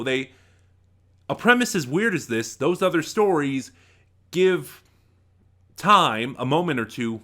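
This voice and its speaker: A man giving an informal spoken review, thinking aloud in a halting, emphatic way. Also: medium pitch, a bit bright. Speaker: review channel host.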